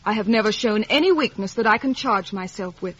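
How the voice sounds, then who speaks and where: A woman's voice: mid-range voice, lively intonation, a little fast, no room sound, lightly acted. An actress playing a cold, proud young woman, speaking formally and declaiming in 1950s radio drama style. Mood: proud, unyielding. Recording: dull vintage radio recording.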